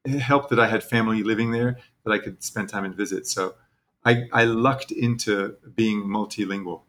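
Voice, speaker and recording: A man's voice: fairly low pitch, fairly even intonation, normal speed, fairly bright tone. A man from Texas talking casually as an interview guest, in a self-deprecating way. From Texas, United States.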